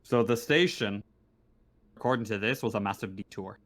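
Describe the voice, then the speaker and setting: A man's voice: lowish voice, fairly bright tone, no echo. Hesitant male game master, close dry sound. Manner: hesitant, halting delivery. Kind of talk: casual narration of an online tabletop game.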